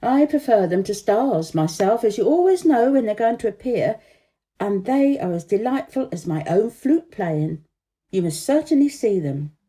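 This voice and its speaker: Woman playing a vain, pompous king, pitched up. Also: big pitch swings, normal speed, mellow, close to an everyday voice. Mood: self-satisfied. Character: vain, self-satisfied king who answers for others.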